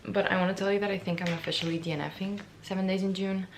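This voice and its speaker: A young woman vlogging casually about books, her voice raspy and hoarse from yelling, chatty and self-mocking, with little stumbles and repeated words. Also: medium pitch, fairly even intonation, fast talker, a little hiss or hum. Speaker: book vlogger. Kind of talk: casual vlog chat.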